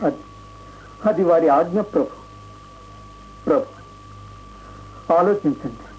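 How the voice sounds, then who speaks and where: A man's voice: a little high, animated, slow. A deferential, earnest minister speaks to his ruler in a raised, respectful voice. This is radio play acting, muffled and faintly noisy. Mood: earnest pleading. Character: loyal, deferential royal minister, earnest and pleading. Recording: dull radio recording, faint hiss.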